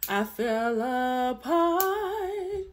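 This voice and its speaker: A young woman with a deep alto voice practicing a song in her bedroom, singing along to a track and chatting to her viewers between lines. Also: big pitch swings, extremely slow, soft background music. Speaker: vlogger learning a song. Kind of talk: casual singing practice vlog. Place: small bedroom indoors. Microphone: phone camera held close.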